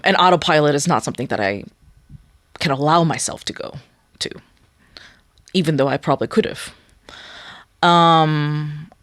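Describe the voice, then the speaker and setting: A young woman whose first language is Korean, speaking thoughtfully in a studio interview and often pausing to search for words. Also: low-pitched, no echo. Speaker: guest musician. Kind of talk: reflective interview talk.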